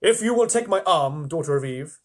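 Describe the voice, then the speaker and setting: Man acting a storybook fawn, male, raised pitch, excitable, flustered, effusively polite, slightly husky. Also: moderate pace. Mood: inviting, courteous.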